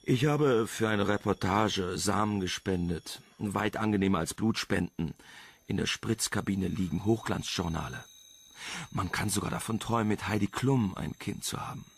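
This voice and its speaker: A man's voice: fairly low pitch, moderate pace, husky. An actor playing a cheeky, flirtatious male reporter in a wry, teasing manner in a radio crime drama. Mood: smug, chatty.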